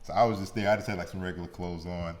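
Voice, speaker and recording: A man with an American accent hosting a casual radio interview, speaking in an animated, colloquial way. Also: sits low, rapid-fire. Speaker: radio show host. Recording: clear background noise.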